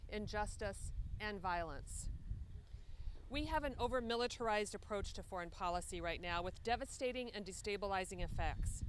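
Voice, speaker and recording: A woman speaks at a press conference as an advocacy leader, reading formal prepared remarks. Her delivery is measured and earnest at an average pitch, with faint ambient noise in the background. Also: fairly bright tone.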